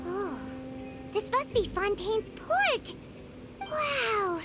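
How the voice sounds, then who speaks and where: A woman voicing a tiny, excitable childlike fairy companion in a video game, with a squeaky voice and an exclaiming manner. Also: drawn-out words, heavily acted. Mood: excited, awed. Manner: refers to self in third person, exclaiming. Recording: background music throughout.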